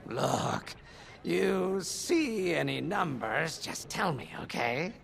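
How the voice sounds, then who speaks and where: A man's voice: on the high side, sing-song, slow, vocal fry, fairly bright tone, a little hiss or hum, heavily acted. An actor playing a joking young male starfighter pilot, playful and wisecracking in manner. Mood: wheedling. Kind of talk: video game voice acting.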